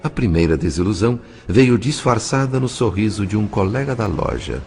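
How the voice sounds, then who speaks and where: Low-voiced Brazilian male narrator over faint music. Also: animated, moderate pace, gravelly, darkish tone. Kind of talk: scripted dramatic novel narration.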